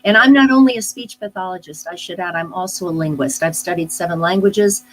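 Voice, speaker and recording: A middle-aged woman, a speech pathologist, answering interview questions in a thoughtful, unhurried way, with many pauses and restarts. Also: on the low side, poor audio. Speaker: speech-language pathologist guest. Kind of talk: live online interview, explaining casually.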